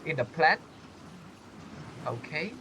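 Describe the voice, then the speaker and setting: A man's voice: fairly high pitch, lively intonation, slow pace, bright, forceful tone, a little hiss or hum, poor audio. A man giving casual let's-play commentary, reading game text aloud and repeating himself as he goes. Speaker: gaming streamer. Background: game music.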